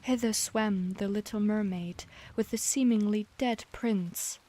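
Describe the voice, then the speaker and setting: A woman with a British-leaning accent learned as a second language, reading a fairy tale aloud in a soft, half-whispered storybook manner. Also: medium pitch, unhurried. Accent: British-leaning English learned as a second language. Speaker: streamer reading stories aloud. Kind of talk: slow bedtime storybook reading.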